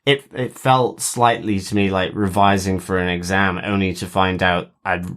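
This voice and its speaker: A man's voice: low-pitched, steady tone, moderate pace, fairly bright tone. A man co-hosting a podcast, chatting casually and thinking aloud as he goes. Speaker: podcast co-host. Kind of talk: casual chat about television.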